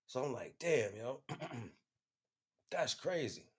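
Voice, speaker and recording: A man's voice: mid-range voice, slow pace, breathy edge. A man talking in a casual, rambling monologue, telling stories in slang and often checking that the listener follows. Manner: slangy, animated storytelling with frequent check-ins. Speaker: livestream host.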